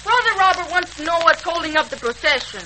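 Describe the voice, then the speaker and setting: Casting: cheeky wisecracking kid brother, high animated voice, 1940s radio recording with hiss. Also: female voice, fast. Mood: brash. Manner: brash, mocking, exclamatory. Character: cheeky young boy, wisecracking and excitable. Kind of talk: 1940s radio serial acting.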